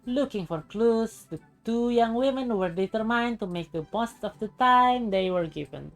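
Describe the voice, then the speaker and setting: A woman streamer narrating a visual novel aloud in a deliberate, expressive storybook style. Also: a little high. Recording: music behind the voice. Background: game music.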